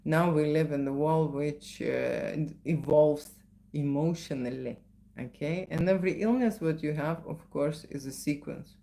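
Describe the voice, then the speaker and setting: A woman's voice: deep voice, a little slow, gravelly, dark tone. A middle-aged woman with a Lithuanian accent, a photographer chatting in a live question session and often tagging her sentences with "okay?" and "right?". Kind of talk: live Q&A chat.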